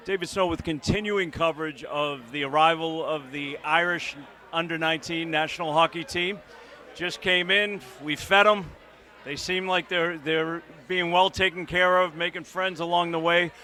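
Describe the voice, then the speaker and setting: A man's voice: on the high side, steady tone, normal speed, pushed and bright. A man working as a reporter, interviewing young players in a chatty, upbeat way on a handheld microphone. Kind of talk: on-camera interview coverage.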